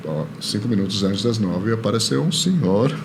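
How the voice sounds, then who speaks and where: Middle-aged male guest, Canadian accent, non-native speaker, lowish voice, halting and jovial, noticeable background noise. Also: animated. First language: non-native Portuguese speaker. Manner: halting, jovial, laughs easily. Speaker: podcast guest, orchestral violist. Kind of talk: casual chat. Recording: clearly audible background noise.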